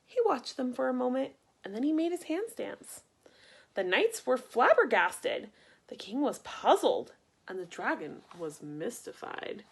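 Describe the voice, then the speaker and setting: A woman reading a picture book aloud for children in an expressive, deliberate way, acting out the story. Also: a little high, gravelly, slightly rough. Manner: animated, deliberate, acts out sound effects. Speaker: storybook reader.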